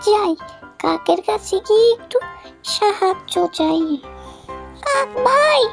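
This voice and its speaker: A woman voicing a bold, carefree young elder sister in a children's cartoon, with a drawn-out, expressive delivery. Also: very high voice, gravelly, over loud music, full character voice. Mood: lost, pleading.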